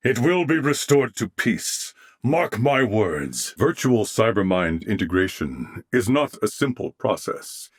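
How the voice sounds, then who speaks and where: Deep, measured, noble alien warrior. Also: male voice, big pitch swings, breathy edge, no echo, clean studio sound, heavily acted. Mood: resolute. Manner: formal, courteous, measured. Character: noble, solemn male alien warrior of a networked race. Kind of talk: video game character voice acting.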